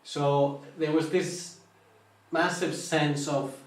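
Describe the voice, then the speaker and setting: Male Buddhist monk, Finnish accent, halting informal talk, very echoey room, mic at a distance. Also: fairly high pitch, steady tone. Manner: halting, searches for English words. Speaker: Buddhist monk teaching meditation. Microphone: online live stream, distant mic.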